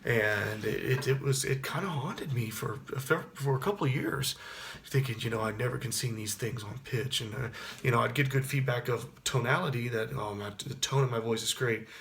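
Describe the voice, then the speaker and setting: A man in his thirties from Texas, with a Texas accent and a baritone voice, talking casually to camera and rambling with many restarts. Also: moderate pace, creaky, fairly bright tone, a little hiss or hum. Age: 30s. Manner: rambling, with frequent restarts and fillers. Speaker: actor and singer. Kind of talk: casual spoken reflection to camera.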